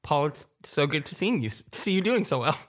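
A man's voice: on the high side, very animated, a little fast. A man speaking informally and conversationally as a panelist in a roundtable discussion.

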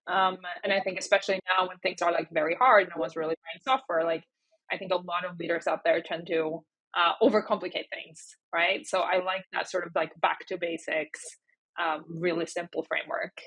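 A woman interviews a guest in an informal, chatty style. Her voice sits on the low side with a husky texture, and she peppers her phrasing with "like". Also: steady tone, a little fast, a bit bright, low-quality recording. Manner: informal, conversational, frequent "like". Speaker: podcast host, interviewer. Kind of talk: casual interview chat.

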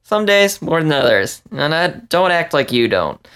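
A man's voice: fairly high pitch, very animated, a little slow, fairly bright tone, clear, resonant tone, pitched above the performer's own voice, exaggerated voice. A man voicing a laid-back, cheeky male rat in a story reading. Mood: cheeky retort.

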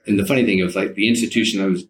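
Male interview guest, low register, informal storyteller who halts and restarts, faint background audio behind him. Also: steady tone, very fast, slight background noise.

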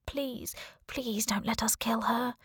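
Woman voicing a high, pleading, soft-hearted conscience. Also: steady tone, slow, slightly rough, dry recording, clean studio sound, heavily acted. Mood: anxious pleading. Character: pleading, soft-hearted inner voice reluctant to kill. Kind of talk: audiobook character dialogue.

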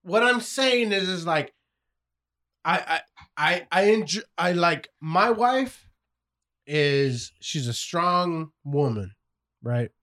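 A man in casual chat who talks slowly and haltingly, restarting his thoughts. His high voice swings widely in pitch. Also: animated, bright, forceful tone. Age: adult, married father. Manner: teasing, halting, rephrases often.

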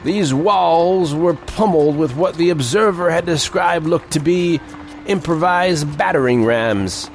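Audio drama narrator: an older reporter, highish voice, emphatic storyteller, over a music bed. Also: male voice, a little slow. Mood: grave. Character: older male reporter recounting a strange frontier tale. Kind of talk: dramatic scripted first-person narration.

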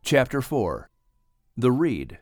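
A man reading a children's story as an audiobook narrator, with expressive, dramatic delivery. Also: mid-range voice, slow, no echo, soft background music.

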